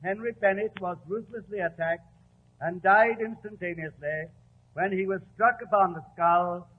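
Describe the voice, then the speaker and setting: An actor in a 1940s radio drama playing a stern court clerk with formal, declamatory speech. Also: male voice, sits high, normal speed. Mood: solemn, formal. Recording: tinny old radio with faint hum.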